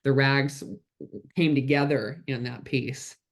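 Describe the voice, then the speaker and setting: A man's voice: medium pitch, steady tone, slow pace. A man hosting an online Q&A over a video call, effusive and full of thanks and praise for his guest. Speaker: host, moderator. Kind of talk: moderating a webinar Q&A.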